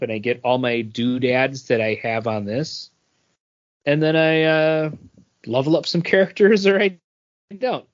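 A man's voice: mid-range voice, no echo, lo-fi sound. A man co-hosting a podcast in casual chat, thinking aloud and filling with many "like"s. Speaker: podcast co-host.

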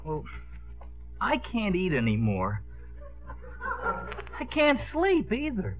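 A man acting a bashful, slow-witted, lovesick young man in a 1950s radio comedy, with a halting, eager manner. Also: high-pitched, huge pitch swings, exaggerated voice. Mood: shy, halting. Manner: halting, hesitant, drawling. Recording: muffled 1950s radio broadcast, music behind.

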